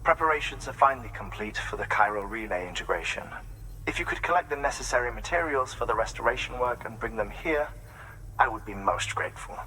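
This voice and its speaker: Game role: courteous lab scientist, mid-pitched bright voice, formal, articulate, cerebral. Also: male voice, lively intonation, brisk, a little hiss or hum, rough recording. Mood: appreciative, businesslike. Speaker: video game character. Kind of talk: dramatic game dialogue.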